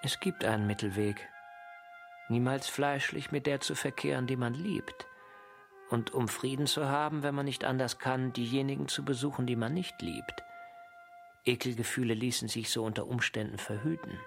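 An actor playing a cynical adviser who coolly proposes compromises in a radio play. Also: male voice, medium pitch, moderate pace, creaky, faint music behind, lightly acted. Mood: insinuating. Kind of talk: German radio play acting.

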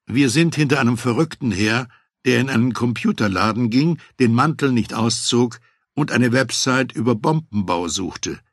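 A man reading a first-person memoir as an audiobook, in a steady storytelling manner that lifts for quoted dialogue. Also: mid-range voice, normal speed, dry recording, studio quality. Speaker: audiobook narrator.

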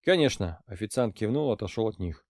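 A man reading an audiobook as narrator, with even, steady storytelling. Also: medium pitch, brisk, no echo, studio quality. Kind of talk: scripted narration.

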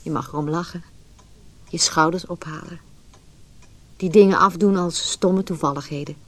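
An actress playing a philosophical narrator, giving solemn, deliberate scripted narration for a radio play. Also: female voice, on the low side, fairly bright tone, no room sound. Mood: pensive, ominous. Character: philosophical, ominous female narrator musing on fate.

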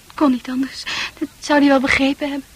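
An actress playing a dreamy, sensitive, troubled young woman in a Dutch radio play, confiding in earnest, halting, poetic speech. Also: sits high, little pitch movement, lightly acted. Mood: troubled, subdued.

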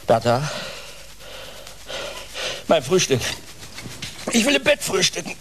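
A whiny, self-pitying man in a radio play. His high voice drags slowly and swoops dramatically as he makes demands, over a slightly hissy recording. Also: wildly swooping pitch, full character voice. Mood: petulant.

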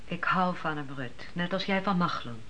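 Loyal, hesitant young wife. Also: female voice, on the low side, animated, moderate pace, bright, forceful tone, a little hiss or hum. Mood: hesitant, sincere. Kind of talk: radio drama acting.

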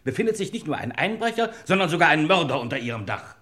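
Investigator: raised pitch, very animated, accusing, formal, declamatory radio mystery delivery. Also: male voice, fast talker, over music. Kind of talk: German radio crime drama acting.

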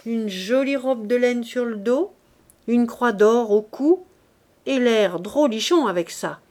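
A woman reading aloud voices a jovial, sly man who gossips loudly and teases, in a raised voice. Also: fairly high pitch, slow pace, pitched above the performer's own voice. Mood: gossiping. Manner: loud, boastful, teasing.

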